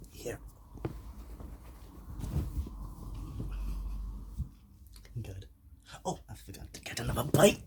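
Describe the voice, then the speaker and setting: Shy, soft-natured zombie played by a man, ASMR roleplay, unhurried halting delivery, noticeable background noise. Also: lowish voice. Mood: shy, polite. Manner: halting, stammering, apologetic, soft-spoken. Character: shy, soft-natured zombie, hesitant but hungry for brains. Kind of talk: whispered ASMR roleplay addressed to the listener.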